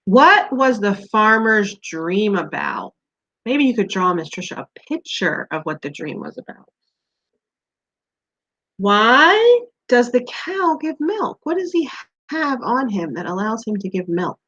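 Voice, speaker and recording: A woman's voice: medium pitch, big pitch swings, unhurried, a bit bright. A woman teacher leading a read-aloud quiz for young children, asking simple questions and giving encouragement in a slightly dull, lo-fi recording.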